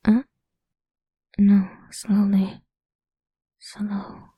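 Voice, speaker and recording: A woman's voice: medium pitch, completely flat delivery, drawn-out words, mellow, breathy edge, no room sound, full character voice. A woman voicing a cruel, domineering woman who taunts in a crude, menacing whisper in an audio roleplay.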